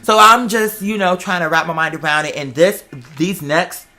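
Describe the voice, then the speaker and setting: A man's voice: high voice, moderate pace, bright, forceful tone, soft background music. A man in his twenties with an American accent, chatting casually and emphatically with strong opinions. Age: 20s. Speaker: host and performer. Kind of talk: casual animated chat.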